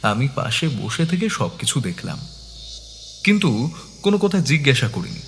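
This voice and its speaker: A man's voice: medium pitch, very animated, normal speed, a little hiss or hum. A man narrating a dramatised detective audio story as the detective's attentive companion. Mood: measured. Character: attentive male companion of a detective, telling the case.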